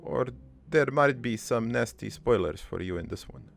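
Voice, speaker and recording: A man voicing a middle-aged, foreign-born cartoon father who rambles through his life story in a deadpan, halting, improvised monologue with a gravelly voice. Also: medium pitch, a little hiss or hum. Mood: rambling, wry. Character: rambling, crude, wistful foreign-born immigrant father named Joey.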